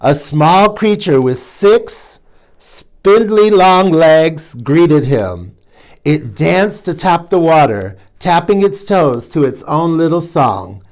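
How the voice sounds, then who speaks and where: Man reading a children's story with animated, slow delivery. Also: fairly high pitch. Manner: chatty asides to young listeners. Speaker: storytime reader, drag queen host.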